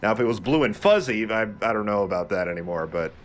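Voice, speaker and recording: Male let's play host, low voice, conversational and jokey, swears casually, noticeable background noise. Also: animated, fast talker. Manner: conversational, joking, casually profane. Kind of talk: casual gaming commentary.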